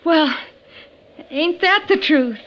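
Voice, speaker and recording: Bold, familiar Old West showgirl for a radio western: high voice, dull vintage sound with faint noise. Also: animated, slow pace, heavily acted. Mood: brassy, familiar.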